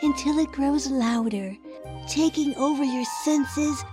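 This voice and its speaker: A woman voicing a sinister, seductive counselor in an audio drama monologue, measured and persuasive. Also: high voice, music in the background. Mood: haunting, slow.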